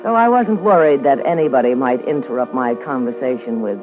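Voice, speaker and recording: A woman's voice: extremely low pitch, fairly quick. A muffled old radio recording with music under a brassy American dame. She is a hard-boiled, slangy party girl from a noir radio play, tough and sardonic in every line. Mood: wry reminiscing. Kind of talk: 1950s radio noir acting, narration and dialogue.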